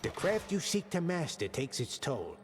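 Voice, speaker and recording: An actor playing a knowing, encouraging magic mentor in a video game, plain-spoken and instructive. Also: male voice, on the high side, very animated, moderate pace. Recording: long reverb, faint noise.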